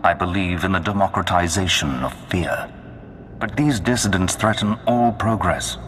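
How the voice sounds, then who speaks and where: Game villain: dark imperial overlord, menacing and grandiose, bottomless bass pitch, music underneath. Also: male voice. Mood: ominous, commanding. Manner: grandiose, slow, commanding. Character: menacing male Sith lord of a dark empire. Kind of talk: video game villain acting. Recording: long cavernous reverb.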